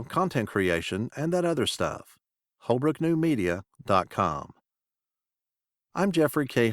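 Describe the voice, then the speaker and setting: A man reading a scripted podcast introduction as host, in a measured, formal announcing manner. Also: on the low side, dry recording, clean studio sound.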